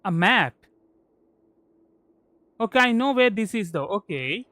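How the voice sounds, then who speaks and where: High-pitched, bright-voiced male streamer. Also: lively intonation, no room sound. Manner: halting, repeats words mid-sentence. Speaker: VTuber game streamer. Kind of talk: casual live game commentary.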